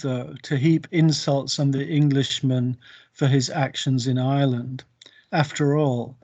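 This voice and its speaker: A man lecturing on literature in a deliberate, emphatic way, over an online video call. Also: mid-range voice, a little mellow, dull audio. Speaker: university lecturer. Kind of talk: literature lecture with quotations read aloud.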